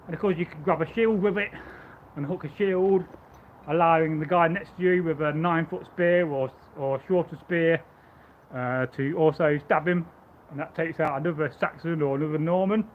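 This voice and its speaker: A man with a loud voice, pitched a little high, talks his way through a live stream about Viking gear. He rambles and restarts his sentences. The audio is thin and phone-like, with faint hiss behind it. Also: moderate pace. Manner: rambling, chatty, stammering restarts. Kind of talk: casual live stream chat and demonstration.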